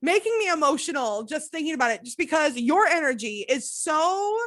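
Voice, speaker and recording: A woman hosting a podcast, with a notably high voice. She gushes over her guest and rattles off playful asides. The chat is loose and unscripted. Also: very animated, fairly bright tone. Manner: effusive, gushing, quick asides. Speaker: podcast host. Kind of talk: casual interview chat.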